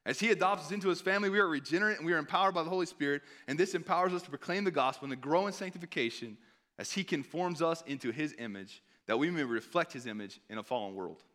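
A hoarse man preaching a sermon in an earnest tone, pausing to read scripture aloud. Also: on the high side, a bit bright. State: hoarse, clearing throat.

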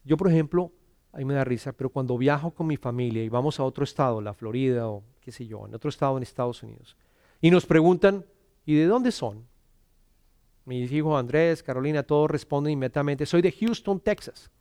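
A middle-aged man from Colombia, preaching an earnest sermon, often repeating words as he starts and dropping to a soft hush on scripture. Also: medium pitch, lively intonation, brisk, dry recording, studio quality. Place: church.

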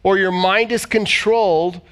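A man's voice: sits high, fairly even intonation, unhurried, fairly bright tone, smooth. A man preaching a sermon as pastor, reading scripture aloud and asking the congregation to repeat words back to him. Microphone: church service streamed online.

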